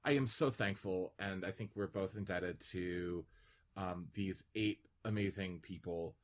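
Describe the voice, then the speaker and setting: A man with a fairly low voice speaks as a podcast co-host. His delivery is casual and conversational, full of effusive thanks. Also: measured pace. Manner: effusive, grateful. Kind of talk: casual chat.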